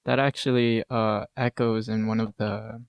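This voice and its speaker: Man, podcast interviewer, conversational, creaky voice, searching emphatic phrasing, close dry recording. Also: fairly low pitch, flat delivery, measured pace, no room sound.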